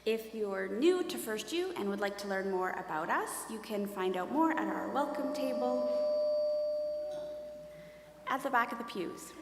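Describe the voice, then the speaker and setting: Woman, fairly high voice, scripted congregational welcome, long hall reverb with faint noise behind. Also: lively intonation, measured pace. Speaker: service leader giving welcome. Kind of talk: scripted church welcome announcement. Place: large church sanctuary.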